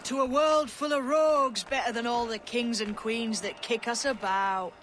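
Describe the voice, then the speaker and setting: A woman's voice: on the high side, fairly even intonation, normal speed, fairly bright tone, slight background noise, lightly acted. A woman playing a tough, blunt female pirate who scolds but cares, in a video game drama. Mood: wry.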